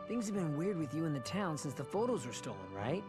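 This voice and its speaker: A man's voice: fairly high pitch, very animated, fast talker, over music. An actor playing a brash teenage boy leader in a video game cutscene, blunt and grudging. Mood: dismissive.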